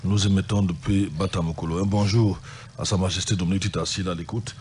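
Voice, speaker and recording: Deep-voiced man, sick pastor hosting radio. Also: fairly even intonation, fast, darkish tone, a little hiss or hum. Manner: conversational, reads out phone numbers and listener messages.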